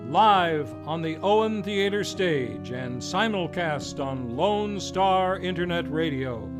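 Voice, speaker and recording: Male announcer, slow deliberate pace, fairly high voice, scripted promo, plucked guitar behind. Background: plucked guitar music.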